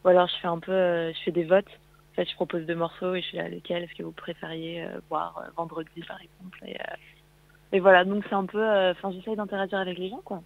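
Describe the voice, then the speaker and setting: A woman's voice: lowish voice, flat delivery, quick pace, vocal fry, no room sound. A woman aged 21, chatting casually and a little hesitantly as an interview guest over a phone line. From Paris, France. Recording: tinny narrowband phone line.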